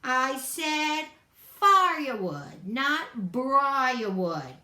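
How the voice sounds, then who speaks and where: A woman's voice: a little high, big pitch swings, slow pace, pushed and bright, reverberant, voice pushed up, full character voice. A woman voicing a grumpy, bossy male varmint creature who snarls out orders in a storybook. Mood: snarling.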